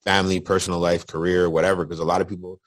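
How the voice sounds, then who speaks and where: A young man from Nigeria whose first language is Yoruba, chatting casually as a podcast guest, animated and repeating words as he talks. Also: low-pitched, very fast, dry recording, clean studio sound. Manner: animated, repeats words, rising excitement on sports talk. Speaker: podcast guest, life insurance agent. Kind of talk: casual conversation.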